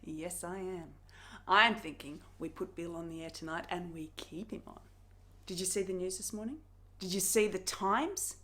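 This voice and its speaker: A woman's voice: on the low side, moderate pace, bright, forceful tone. A woman performing a modern drama monologue as an ambitious television executive, eager, insistent and urgent. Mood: eager, scheming. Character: ambitious, excitable female television executive pitching hard. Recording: roomy, echoing untreated room.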